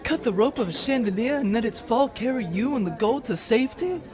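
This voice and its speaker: A woman's voice: on the high side, animated, brisk, a crowd in the background, close to an everyday voice. A woman playing an admiring lady who hangs on a hero's words, theatrical, in a comic audio drama. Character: admiring lady listening to a dashing hero.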